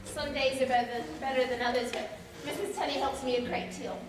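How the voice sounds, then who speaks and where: A young woman playing a gentle but defiant committed wife and mother in a school stage play. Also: high-pitched, steady tone, a little fast, natural voice. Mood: gentle, upset. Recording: distant echoey school auditorium, noisy.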